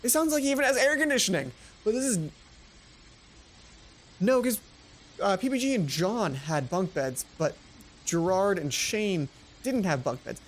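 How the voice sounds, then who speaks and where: A woman's voice: mid-range voice, animated, moderate pace, long pauses, a little hiss or hum. A woman hosting a let's play, chatting casually and playfully with some self-deprecation. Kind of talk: casual gaming commentary mixed with reading dialogue aloud. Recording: music playing behind the voice. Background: game music.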